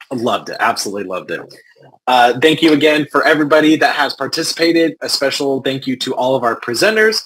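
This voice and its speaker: A man's voice: medium pitch, a bit bright, husky, rough recording. A man hosting an online event, wrapping up with effusive thanks and announcements over a video call. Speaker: event host. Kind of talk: wrap-up announcements.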